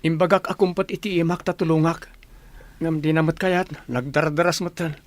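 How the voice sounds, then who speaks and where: A man with an Ilocano accent playing a calm, protective young villager in a radio comedy drama. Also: a little high, brisk, no echo, lightly acted. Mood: concerned. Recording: noisy, vehicle hum behind the voice.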